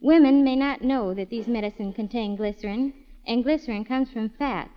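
A woman's voice: a little high, normal speed. A woman giving a scripted 1940s radio appeal as a guest navy nurse. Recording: muffled old radio broadcast.

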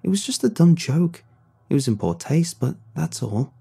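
A man voicing a teenage boy who speaks with hesitation, calmly reasoning. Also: on the high side, normal speed, gravelly, breathy edge, voice pushed up, heavily acted.

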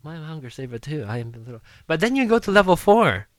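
A man co-hosting a casual chat show, animated and teasing, with bursts of laughter. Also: on the high side, quick pace, dry recording. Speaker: podcast co-host.

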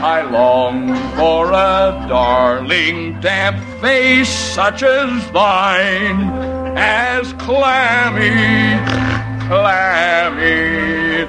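Music plays behind a lovestruck werewolf from a 1950s radio comedy. His voice sits a little high, and he draws out his words with theatrical romance and gleeful menace. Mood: crooning, lovestruck. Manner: mock-romantic, theatrical, drawn-out crooning.

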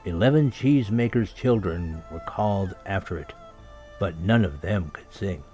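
A man's voice: sits low, moderate pace, warm tone. A man narrating a scripted children's story as a storyteller. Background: music and birdsong sound effects.

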